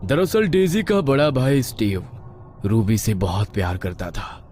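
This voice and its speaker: A man's voice: medium pitch, a little slow, a little mellow, slight background noise. A man reading a scripted horror story as a dramatic narrator. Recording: music behind the voice.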